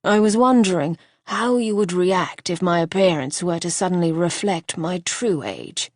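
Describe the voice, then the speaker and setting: A woman voicing a gravely ill gentleman who speaks softly and tenderly in a lowered, hushed voice. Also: lively intonation, moderate pace, dry recording, clean studio sound.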